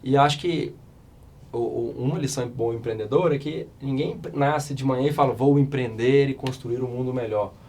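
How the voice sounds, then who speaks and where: A man in his thirties from Minas Gerais with a Mineiro accent, a hoarse startup founder telling stories as a podcast guest. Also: medium pitch, moderate pace, slight background noise. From Minas Gerais, Brazil. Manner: chatty, animated storyteller, many restarts. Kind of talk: casual interview storytelling.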